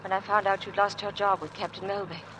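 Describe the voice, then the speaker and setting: An actress playing an anxious, devoted young wife with an earnest, hesitant delivery, in 1940s radio drama style. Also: female voice, mid-range voice, flat delivery, a little fast, a bit bright, a little hiss or hum, natural voice, rough recording. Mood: tender, probing. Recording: old 1940s radio broadcast.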